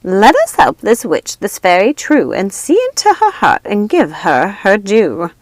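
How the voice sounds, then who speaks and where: A woman voicing wicked, frenzied witch sisters from a storybook, imperious and menacing, with shrill, commanding, dramatic delivery. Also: huge pitch swings, measured pace, no echo. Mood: chanting. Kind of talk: storybook character acting.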